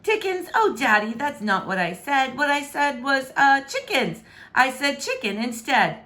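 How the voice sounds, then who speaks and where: A coy, stubborn young princess acted out by a woman from a storybook, wheedling with wide-eyed innocence. The voice is high and bright, with very lively intonation. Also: unhurried, exaggerated voice. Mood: feigned innocence. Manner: feigned innocence, hesitant, wheedling. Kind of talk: expressive children's storybook read-aloud.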